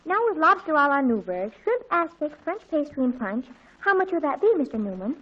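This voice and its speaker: Eager teen girl, high and bubbly, earnest; dull old radio sound. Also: fairly quick. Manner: earnest, excitable, wheedling. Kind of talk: 1940s radio acting.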